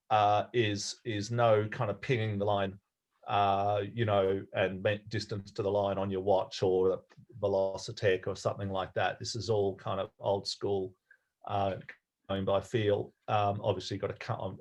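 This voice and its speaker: A man's voice: fairly low pitch, little pitch movement, normal speed. An Australian man giving an informal talk to a club over a video call, easygoing and rambling, often restarting his sentences. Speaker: guest speaker.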